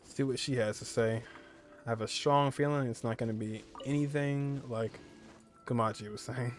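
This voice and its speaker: A man's voice: mid-range voice, steady tone, normal speed, soft background music. A man giving casual playthrough commentary as a gaming streamer.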